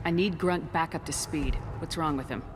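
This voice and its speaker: A composed human space commander played by a woman speaks in a direct, inquiring, matter-of-fact way. It is video game cutscene acting, with clear ambient noise behind the voice. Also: low voice, normal speed, natural voice. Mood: businesslike.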